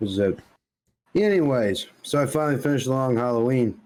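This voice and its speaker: Blunt, mid-pitched man chatting on a podcast. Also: expressive, moderate pace, a little mellow, low-quality recording. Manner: blunt, opinionated, casually sweary. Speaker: podcast co-host. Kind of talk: casual podcast chat.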